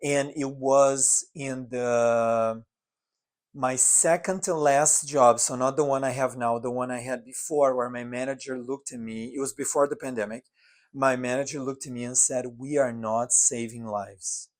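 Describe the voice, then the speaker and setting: A man's voice: mid-range voice, fairly even intonation, measured pace. A man co-hosting a casual podcast in an apartment, talking earnestly and reflectively, often restarting his phrases. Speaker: podcast co-host. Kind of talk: casual chat. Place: apartment living room.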